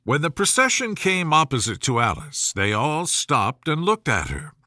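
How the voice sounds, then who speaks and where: A man's voice: a little high, sing-song, normal speed, bright, forceful tone, no echo, studio quality. A man narrating a scripted bedtime story as an audiobook reader.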